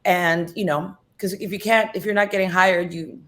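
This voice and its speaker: A middle-aged woman from the Philippines talks as a studio guest, telling stories in an animated, chatty way. Her sentences keep running on, loosely strung together with plenty of "you know" and "like". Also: fairly low pitch, normal speed. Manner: animated, storytelling with many "you know" fillers. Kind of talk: casual interview chat. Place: radio studio.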